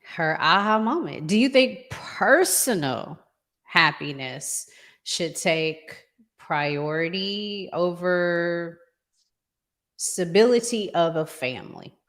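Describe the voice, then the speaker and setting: Slow-paced woman hosting a podcast. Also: on the low side, lively intonation, a bit bright. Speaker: podcast host. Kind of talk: casual relationship talk show hosting.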